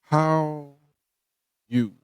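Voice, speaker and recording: A man's voice: on the high side, fairly even intonation, extremely slow, clear, resonant tone, dry recording, studio quality. A man hosting a podcast, giving a direct, motivational talk that repeats its points. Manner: preachy, direct, repeats himself. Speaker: podcast host.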